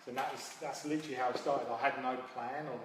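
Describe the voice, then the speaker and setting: A man's voice: medium pitch, fairly even intonation, fast talker. Echoey man, middle-aged coach. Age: 40s. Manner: informal, anecdotal storytelling. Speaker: guest, MMA coach and founder. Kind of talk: casual interview answers. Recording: very echoey hall, distant mic, faint noise.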